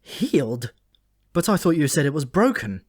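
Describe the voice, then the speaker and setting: Male reader as bold young everyman, high and indignant, excitable, comic fantasy storybook. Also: moderate pace. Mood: puzzled. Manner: excitable, questioning. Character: bold, indignant modern young man, out of his depth.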